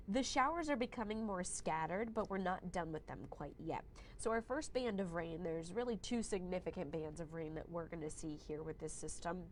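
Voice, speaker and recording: A young adult woman meteorologist giving a friendly, conversational live weather forecast while fighting a cold, now and then clearing her throat. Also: lowish voice, a little hiss or hum. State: has a cold, slightly hoarse.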